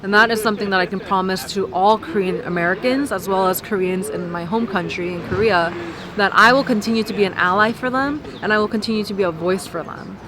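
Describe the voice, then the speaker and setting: A woman's voice: mid-range voice, fast talker, a crowd in the background. A woman talking earnestly and reflectively about her identity and her community in an interview. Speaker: political candidate. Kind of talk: interview and campaign talk.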